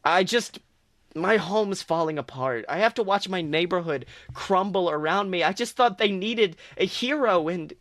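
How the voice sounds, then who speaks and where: A man's voice: sits high, expressive, normal speed, a bit bright, no echo, clean studio sound, voice pushed up. A man voicing a nervous, earnest teenage boy who stammers and hesitates. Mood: apologetic.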